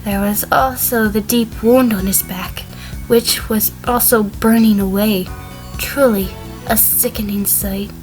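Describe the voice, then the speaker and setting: A woman's voice: medium pitch, steady tone, unhurried, a little mellow, faint music behind, lightly acted, poor audio. A woman playing a defiant, evasive defendant testifying in an audio drama, prickly with hesitant stammers. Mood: recounting, uneasy. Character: defiant, evasive female defendant on the witness stand. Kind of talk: audio drama courtroom acting.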